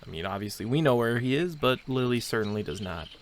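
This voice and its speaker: A man's voice: medium pitch, expressive, quick pace, a little hiss or hum. A man casually commentating a video game as he plays, thinking aloud and restarting his sentences. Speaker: gameplay commentator. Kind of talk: casual let's play commentary.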